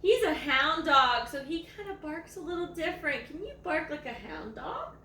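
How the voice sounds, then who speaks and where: A woman's voice: sits high, big pitch swings, normal speed, pushed and bright. A woman doing a picture book read-aloud for children, adding chatty, cooing asides. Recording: echoey room, distant mic, faint noise.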